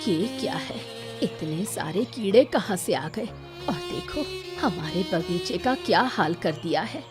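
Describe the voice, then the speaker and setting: A woman voices an old village mother in a cartoon tale. She sounds worried and shrewd, lamenting and suspicious in a medium-pitched voice over music. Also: big pitch swings, measured pace. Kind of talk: Hindi moral-story cartoon dubbing.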